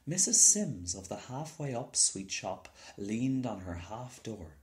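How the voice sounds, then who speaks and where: A man's voice: on the low side, sing-song, takes it slowly, darkish tone. A man reading a children's story aloud as scripted narration. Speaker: storybook reader.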